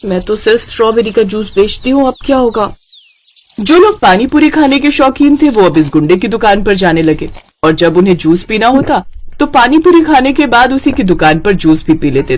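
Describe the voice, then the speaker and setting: A woman's voice: mid-range voice, sing-song, normal speed, a little hiss or hum. A woman narrator reading a scripted story for children.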